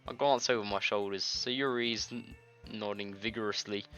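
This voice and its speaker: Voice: medium pitch, fairly even intonation, moderate pace, fairly bright tone. A gamer doing an informal let's play, reading visual novel text aloud and stumbling over it now and then. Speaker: gaming video creator. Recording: dull lo-fi mic, music behind.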